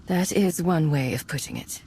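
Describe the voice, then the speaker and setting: A contemptuous warrior in a video game, dry and sharp-tongued. Her voice sits low, with a little noise behind it. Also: normal speed. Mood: dry, skeptical. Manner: dry, disdainful.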